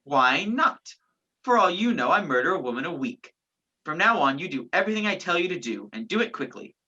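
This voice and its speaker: A woman's voice: fairly low pitch, big pitch swings, brisk, bright, forceful tone, slightly rough, full character voice. A woman acting in a radio play as a sardonic, commanding man on the run, with dry sarcasm and clipped orders. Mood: menacing. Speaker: student stage actor. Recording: dull, lo-fi virtual recording.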